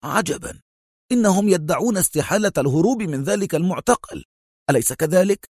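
A man's voice: high voice, lively intonation, fairly quick, a little mellow, slightly rough, no room sound, studio quality, pitched above the performer's own voice. A man playing a stern, sceptical consul in a dramatic audio story, with a firm, emphatic and authoritative way of talking. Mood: stern, incredulous.

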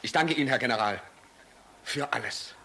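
An earnest young officer in a radio drama, deferential and eager to serve. His voice is mid-pitched, bright and tense. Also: normal speed, a little hiss or hum. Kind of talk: dramatic radio play acting.

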